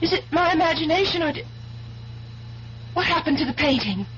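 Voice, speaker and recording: A woman's voice: high voice, expressive, fairly quick, fairly bright tone. An actress playing an anxious, loving woman in a 1970s radio drama, emotional and pleading. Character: anxious, loving woman dismayed by her man. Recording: dull, noisy 1970s radio recording with hum.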